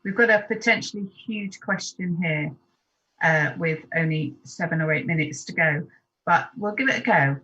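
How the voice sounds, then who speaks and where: A woman hosting an online discussion, briskly reading out questions and inviting panelists to speak. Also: on the low side, sharp tone. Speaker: discussion host. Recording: dull lo-fi audio.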